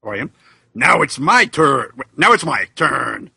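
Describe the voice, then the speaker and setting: A man with a very sharp, forceful voice chats casually as a podcast co-host. It is raspy and gravelly, with lively pitch. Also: unhurried, no echo, clean studio sound. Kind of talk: casual banter.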